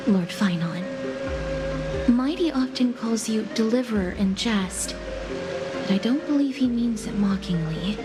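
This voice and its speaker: An actress playing a gentle, solemn young noblewoman in a game, polite, measured and softly reassuring. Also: a little high. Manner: polite, measured, soft-spoken. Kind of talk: dramatic video game dialogue.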